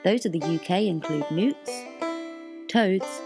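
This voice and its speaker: A woman's voice: on the low side, takes it slowly, creaky. A young woman reading a scripted instructional narration for a training film. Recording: voice-over under loud guitar music. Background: plucked guitar music.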